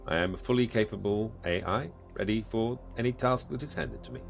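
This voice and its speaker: A man's voice: fairly low pitch, brisk, creaky, soft background music. A man playing a laconic, formal artificial intelligence in a sci-fi audio drama, matter-of-fact and businesslike.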